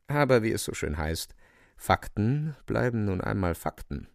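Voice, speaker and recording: An actor narrating a satirical story for a radio play in an ironic, theatrical, emphatic manner. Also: male voice, fairly low pitch, big pitch swings, measured pace, gravelly, no echo, studio quality, exaggerated voice. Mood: wry, ironic. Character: wry, theatrical male storyteller of satirical novel.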